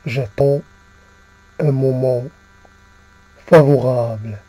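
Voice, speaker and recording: A man reciting poetry in a solemn, halting manner, repeating phrases as he goes. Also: medium pitch, steady tone, mellow, no room sound, poor audio. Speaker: poet reciting own poem.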